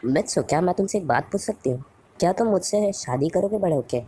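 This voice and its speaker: An affectionate girl superhero from a cartoon dub, played by a woman, has a very low female voice with a dark, soft tone. She comes across as gentle, caring and sincere. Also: expressive, fast, breathy edge, soft background music.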